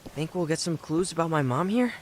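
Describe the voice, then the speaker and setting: A man's voice: fairly high pitch, expressive, a little fast, a little hiss or hum, close to an everyday voice. An actor playing an earnest young man searching for his mother in an English video game dub. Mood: anxious, hopeful.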